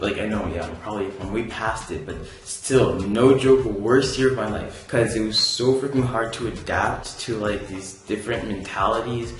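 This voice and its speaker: Young guy from Canada, casual rambling vlogger, middle pitch, very roomy echo. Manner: rambling, filler-heavy, laid-back. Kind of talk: casual storytelling vlog. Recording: very echoey room.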